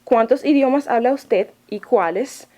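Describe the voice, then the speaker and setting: A woman's voice: fairly high pitch, a little slow, warm tone. A woman hosting a podcast, asking polite, formal interview questions and giving short acknowledgements.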